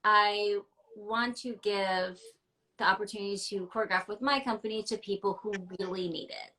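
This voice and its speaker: A woman's voice: medium pitch, fairly even intonation, moderate pace, bright, forceful tone, low-quality recording. A woman talking candidly and emphatically from home in a casual interview, with lots of "like" and "um". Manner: candid and emphatic, many fillers like "like" and "um". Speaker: interview guest, ballet dancer. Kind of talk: casual interview over a video call. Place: home, ground floor apartment.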